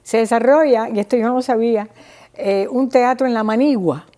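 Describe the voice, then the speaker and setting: A woman from Cuba with a Cuban accent gives an informal, didactic talk, pausing and restarting and often checking with her listener. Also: a little high, warm tone. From small town in Cuba. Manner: didactic, halting, checks with listener using tag questions. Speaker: guest speaker on Cuban theatre history.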